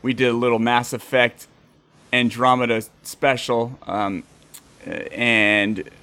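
A man hosting a casual interview, goofy and animated, sometimes tripping over his words. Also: medium pitch, takes it slowly, clean tone. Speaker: interviewer, podcast host.

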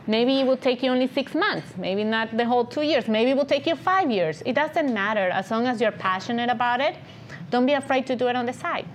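A woman's voice: a little high, animated, brisk, a little hiss or hum. A woman with a non-native English accent giving an encouraging, conversational business talk and often checking in with "right?". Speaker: guest speaker, agency founder and CEO.

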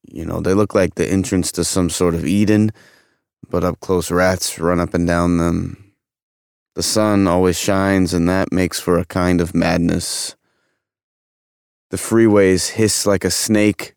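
A fallen young Hollywood idol in an audio drama, haunted and bitter. The voice sits low and creaks with fry. It is recorded close and dry, with a poetic, brooding delivery. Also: male voice, fairly even intonation, unhurried, darkish tone, no room sound. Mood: wistful, bitter. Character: murdered 1950s Hollywood heartthrob, haunted, bitter, wistful ghost. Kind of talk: audio drama monologue and dialogue.

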